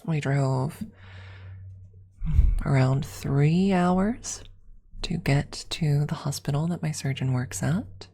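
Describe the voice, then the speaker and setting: A woman speaking softly and often in a whisper, telling a personal story as an ASMR streamer, close to the microphone. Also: low voice, takes it slowly, pauses often, breathy edge. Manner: hushed, often slipping into whisper. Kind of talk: soft-spoken ASMR storytelling.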